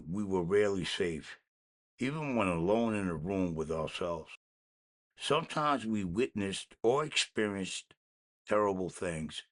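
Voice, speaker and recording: A man reading a daily recovery meditation aloud in a measured, slightly halting way. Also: fairly low pitch, a bit bright. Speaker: podcast reader.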